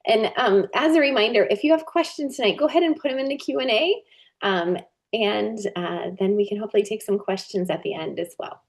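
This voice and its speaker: A woman's voice: medium pitch, a little fast. A woman hosting a webinar over a video call, introducing the guest in a friendly way with many fillers. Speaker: webinar host. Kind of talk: introducing a speaker.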